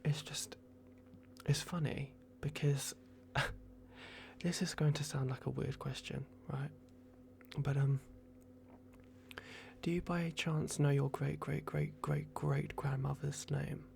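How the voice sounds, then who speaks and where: A man in an ASMR roleplay, playing a polite, flustered vampire at a clinic in a hushed, hesitant, apologetic way. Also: medium pitch, fairly even intonation, takes it slowly, gravelly, pauses often, slight background noise.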